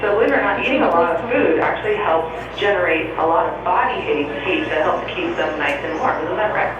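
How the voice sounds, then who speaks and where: A woman's voice: fairly low pitch, fairly quick, noisy background. A woman giving a conversational, explanatory live presentation as an animal trainer at an aquarium. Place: public aquarium otter exhibit, amplified. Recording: dull, echoey, distant, crowd chatter.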